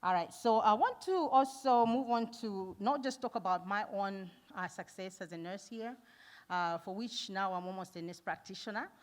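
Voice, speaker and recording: A middle-aged woman from Zambia, a nurse giving a conference talk, earnest and warm, laughing often and pausing with fillers. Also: medium pitch. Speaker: nurse and foundation founder speaking at an event. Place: event hall.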